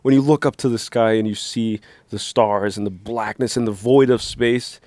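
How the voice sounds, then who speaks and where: A man's voice: lowish voice, fairly even intonation, normal speed, darkish tone, no room sound. A young man from New Jersey co-hosting a casual movie reaction channel, talking in a playful, rambling, teasing way. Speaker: co-host. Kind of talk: casual chat.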